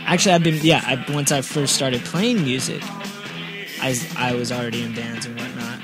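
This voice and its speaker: A man's voice: mid-range voice, fairly even intonation, normal speed, loud music behind the voice. A man in his twenties hosting a podcast in a slangy, joking, casual chat style. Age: 20s. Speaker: podcast host.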